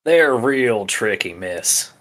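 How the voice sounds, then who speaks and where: A man's voice: fairly low pitch, lively intonation, slow, a bit bright. A man playing a folksy drone owner in a tabletop game, cajoling and pleading. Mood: wry.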